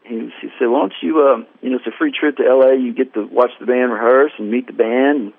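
A man's voice: mid-range voice, steady tone, quick pace, gravelly, no echo. A man as the guest musician in an interview, telling a story in a rambling, self-deprecating way and acting out the dialogue. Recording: dry tinny phone line.

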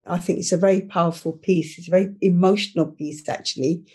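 A woman born in Uganda, a memoir author, introducing herself in an unhurried, hesitant way with many fillers. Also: fairly low pitch, fairly even intonation, warm tone, breathy edge. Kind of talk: casual conversation.

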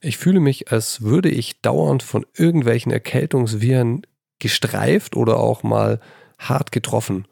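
Slightly hoarse man with a gravelly voice. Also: medium pitch, measured pace, no echo, clean studio sound. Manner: chatty, self-deprecating, lively emphasis. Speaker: podcast host. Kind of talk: casual solo podcast talk. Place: old childhood bedroom at his mother's house. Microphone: handheld microphone.

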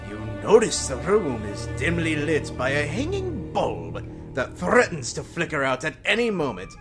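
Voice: medium pitch, big pitch swings, normal speed, close to an everyday voice. An actor playing a pompous, menacing omniscient narrator in an audio comedy drama, portentous and melodramatic, and easily flustered. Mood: ominous. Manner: portentous, melodramatic, dragging out grim pronouncements. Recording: music behind the voice.

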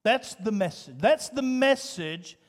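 A man preaching a sermon as pastor, swinging between loud emphasis and hushed asides. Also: high-pitched, animated, slow. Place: church sanctuary. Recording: long reverb of a church hall.

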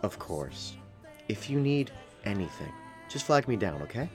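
A man acting in an audio drama as an obliging, expressive diner waiter. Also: on the low side, measured pace, faint music behind. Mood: helpful.

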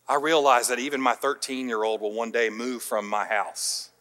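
A pastor preaching a sermon, with emphatic rising peaks, quiet dropped line endings and some light self-deprecating humor. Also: male voice, medium pitch, fairly even intonation, normal speed. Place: church sanctuary. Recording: long hall reverb.

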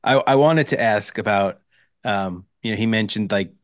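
A man's voice: fairly low pitch, normal speed, no room sound, clean studio sound. A man co-hosting a casual sports podcast, rambling a little as he thinks aloud. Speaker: podcast co-host.

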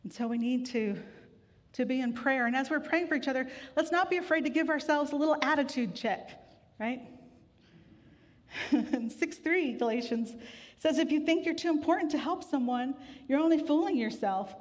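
A woman pastor giving a sermon in a relaxed, conversational way with gentle humor, weary from grief. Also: sits high, moderate pace. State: grieving, tired. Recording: long hall reverb.

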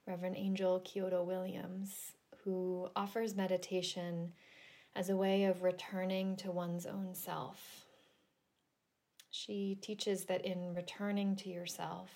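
A woman leading a guided relaxation meditation in a gentle, soothing, deliberate manner with long pauses. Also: fairly low pitch. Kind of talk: guided yoga nidra meditation.

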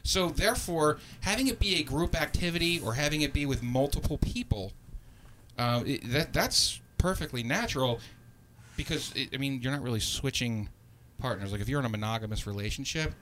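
Man, podcast host, casual and laughing, rambling with restarts, bright voice, faint noise under him. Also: medium pitch, animated, a little fast. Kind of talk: live podcast chat.

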